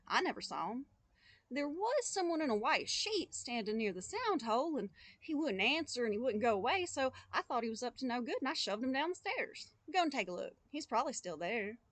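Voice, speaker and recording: A simple, fearless young boy from a storybook, as a woman reading aloud puts him on. He talks earnestly and openly, his voice set high and lively. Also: fairly quick, no echo.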